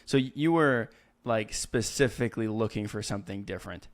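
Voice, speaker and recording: Low-pitched man hosting a casual interview. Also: brisk, slight background noise. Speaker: podcast host, interviewer.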